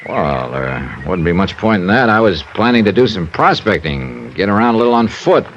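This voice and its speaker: An actor playing a breezy, wry, cool-headed insurance investigator in 1950s radio detective style. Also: male voice, low-pitched, very animated, normal speed, slight background noise. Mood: friendly, casual. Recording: muffled vintage radio recording, loud music.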